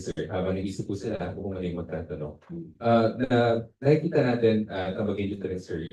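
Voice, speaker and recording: A man's voice: sits low, mellow. A Filipino man moderating a university talk, who asks questions politely and deferentially. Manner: courteous, deferential. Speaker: event moderator. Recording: echoey room, distant mic.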